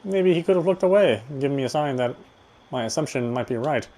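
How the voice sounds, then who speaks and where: A man's voice: mid-range voice, lively intonation, fast talker, warm tone. A man reading visual novel narration aloud, expressively, with occasional stumbles over words. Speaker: game streamer. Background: game music.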